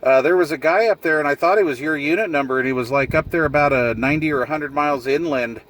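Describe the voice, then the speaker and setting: A man's voice: fairly high pitch, fast, fairly bright tone. A man chatting casually in CB radio slang with a folksy, jokey manner. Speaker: CB radio operator filming a vlog. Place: outdoors in a vehicle on a hillside. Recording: distant mic with background noise.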